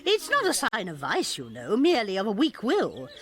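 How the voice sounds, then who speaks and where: An actress with a British accent playing a brisk, authoritative, proud college headmistress in a radio drama. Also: female voice, medium pitch, huge pitch swings, fairly bright tone.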